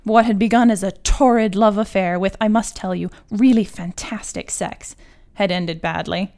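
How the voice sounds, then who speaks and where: A woman writer reading her own literary short story in a measured, articulate and wry manner. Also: medium pitch, steady tone, a little mellow.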